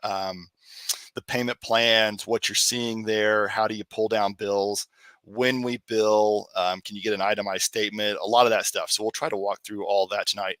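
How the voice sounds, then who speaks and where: A man presenting on student billing at an online session, a little hoarse from a cold, explaining in a relaxed way with many fillers. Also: on the low side, normal speed, dry recording. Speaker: accounts receivable staff presenter. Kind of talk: webinar presentation.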